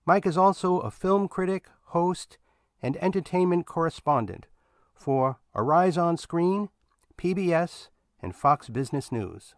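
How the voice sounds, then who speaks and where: A man hosting a podcast, reading a scripted outro in a measured, announcing manner. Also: a little high, lively intonation, no echo, clean studio sound. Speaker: podcast host.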